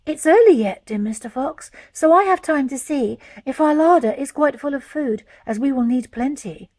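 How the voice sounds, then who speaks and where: A woman voicing an anxious, excitable fox wife in a storybook, exclaiming dramatically. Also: sits high, normal speed, no room sound, voice pushed up. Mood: eager.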